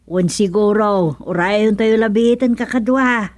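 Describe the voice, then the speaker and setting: A woman's voice: mid-range voice, unhurried, close to an everyday voice. An actress playing a gossipy, easily surprised village woman in an Ilocano comedy radio drama.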